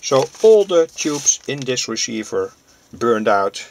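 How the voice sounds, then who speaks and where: A man from Europe giving an informal hands-on demonstration as a hobbyist presenter, speaking haltingly and often pausing mid-sentence. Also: mid-range voice, sing-song, darkish tone, slight background noise. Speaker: presenter, vintage radio hobbyist.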